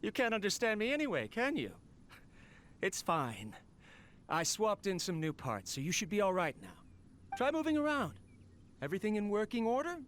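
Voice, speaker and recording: A man's voice: high voice, noisy background. An actor playing a lonely, weary male researcher in a video game, speaking in a wistful, resigned monologue. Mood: gently chiding.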